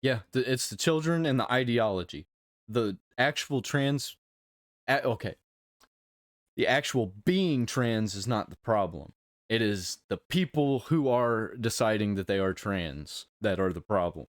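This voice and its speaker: A man with a fairly low voice hosts a casual reaction video. He talks bluntly and emphatically, breaking his opinions into short, halting phrases. The sound is dry and close, with little room in it. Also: animated, no room sound.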